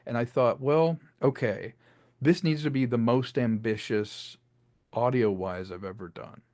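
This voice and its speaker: A man's voice: medium pitch, measured pace, darkish tone, dry recording. Chatty man telling stories, dry close sound. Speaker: filmmaker and audio drama creator being interviewed. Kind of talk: casual interview chat.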